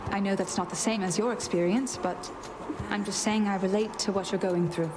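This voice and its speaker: A woman's voice: mid-range voice, steady tone, brisk, lightly acted. A woman voicing a guarded, frank young goddess in a video game, plain-spoken and reserved. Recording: loud noise behind.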